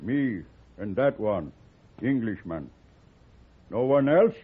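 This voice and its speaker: Stern, proud chief in an old radio western: terse broken English, slow solemn delivery, tinny phone-like recording. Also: male voice, mid-range voice, lively intonation, husky.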